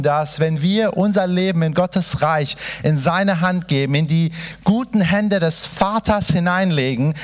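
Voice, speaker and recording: A man in his thirties, born in Iran, preaching to a church congregation in a passionate, chatty way, speaking straight to the listeners. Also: fairly high pitch. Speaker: pastor. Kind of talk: sermon introduction.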